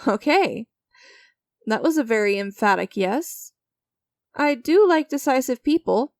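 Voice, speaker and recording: A woman's voice: sits high, animated, measured pace, darkish tone, dry recording, clean studio sound. A woman playing an enigmatic, creepy stranger who strikes sinister deals in a dramatic audio roleplay, teasing and theatrical with a smug, coaxing manner. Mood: sly, coaxing.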